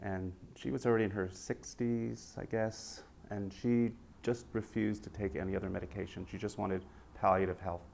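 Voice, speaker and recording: Low-voiced man telling patient stories. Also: gravelly, slight background noise. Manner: measured, anecdotal, lively when quoting patients. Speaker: physician panelist. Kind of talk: storytelling in a panel interview. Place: conference room with a long table.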